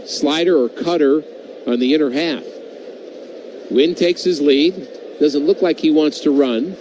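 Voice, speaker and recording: A man doing live baseball play-by-play on the radio, conversational and wry between pitches. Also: darkish tone. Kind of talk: live radio sports commentary with casual banter. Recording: noisy ballpark radio broadcast.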